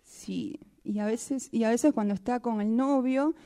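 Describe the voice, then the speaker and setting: A woman voicing a weary, overused sock in a halting, repetitive, plaintive radio-theatre monologue. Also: fairly high pitch, warm tone. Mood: complaining. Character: weary, overused female sock complaining about its owner.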